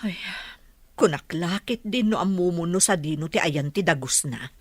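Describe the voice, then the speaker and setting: An anxious, yearning mother in a Philippine radio drama. Her voice is fairly low and husky, recorded close and dry. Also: expressive, moderate pace, no room sound. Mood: yearning, worried.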